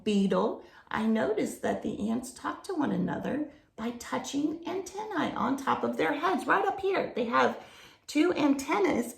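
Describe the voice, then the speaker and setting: Female children's storyteller, unhurried and slightly gravelly, expressive read-aloud with simple explanations, recorded in a roomy, echoey space. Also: high voice. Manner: explains to young listeners with simple questions and expressive emphasis.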